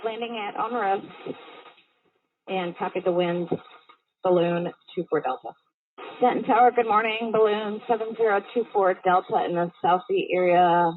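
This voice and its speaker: A woman's voice: medium pitch, moderate pace, no echo. A woman speaking as a balloon pilot, making clipped, procedural aviation calls over the radio. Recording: tinny, phone-like band-limited radio audio.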